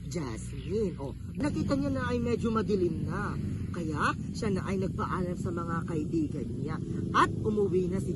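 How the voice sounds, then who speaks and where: A woman with a Filipino accent tells a children's story in a teacherly way, putting questions to young listeners. Her pitch is mid-range, and there is clear noise behind her. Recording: noisy room with clear background noise.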